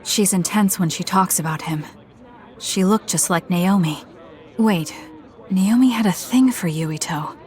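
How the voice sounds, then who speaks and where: A woman voicing a calm, blunt young soldier heroine in an anime-style game, speaking in a measured, matter-of-fact way. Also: fairly low pitch, a faint crowd, lightly acted. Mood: reflective. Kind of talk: anime game cutscene acting.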